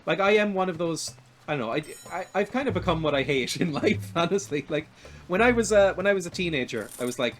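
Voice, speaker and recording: A man's voice: expressive, fast talker, a bit bright, a little hiss or hum. Light-voiced young man chatting while gaming. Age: 20s. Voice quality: light, naturally high voice. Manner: rambling and self-deprecating, laughs often. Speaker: streamer. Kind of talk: casual chat while playing a video game.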